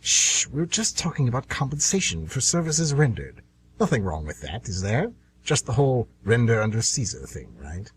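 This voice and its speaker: An actor playing the Devil as a scheming, irritable, sardonic dealmaker in an amateur radio comedy. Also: male voice, medium pitch, very animated, moderate pace, slightly rough. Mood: insinuating. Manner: sardonic, insinuating, grumbling.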